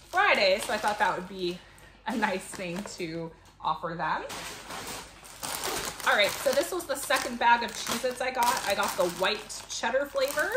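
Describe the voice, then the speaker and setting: Mom vlogger chatting away to camera in her kitchen, kinda echoey, clear background noise and clicks. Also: fairly high pitch. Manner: chatty, explanatory. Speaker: vlogger, mother showing a grocery haul. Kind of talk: casual chat to camera. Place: home kitchen, indoors. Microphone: camera a few feet away.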